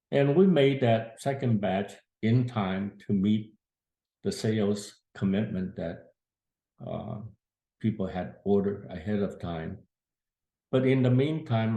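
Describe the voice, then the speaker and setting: A man's voice: lowish voice, gravelly, dark tone. A man in his eighties telling a family story from his youth, plain-spoken and earnest. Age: 80s. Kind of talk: casual spoken memoir. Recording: echoey room.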